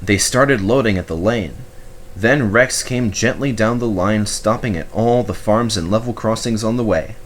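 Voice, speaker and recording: A man's voice: on the low side, normal speed, slight background noise. A man narrating a children's storybook expressively as its reader.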